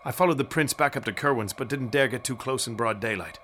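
Man acting a bright-voiced, determined colonial townsman. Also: mid-range voice, fast talker, slight background noise, close to an everyday voice. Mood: urgent. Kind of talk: period radio drama acting.

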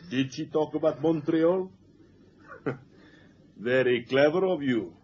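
Actor playing a coldly polite foreign assassin, muffled recording. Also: male voice, mid-range voice, lightly acted. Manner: courteous, menacing, stilted foreign phrasing. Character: cold, polite male foreign assassin with a gun. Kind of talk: 1970s radio drama acting. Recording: muffled, noisy old radio drama recording.

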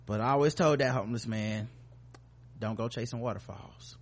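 A man's voice: mid-range voice, normal speed. A man hosting a podcast in casual, joking banter with informal, profane language. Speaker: podcast host.

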